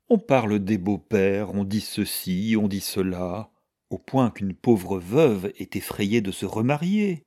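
A man's voice: lowish voice, darkish tone. A man voicing an anxious, submissive middle-aged widow with a doleful, gushing manner in an audiobook.

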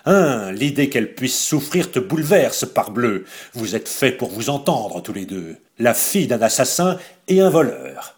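A man with a husky voice voicing a cruel, scheming woman villain in an audiobook, in a cold and cutting manner. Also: low-pitched, unhurried. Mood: sneering.

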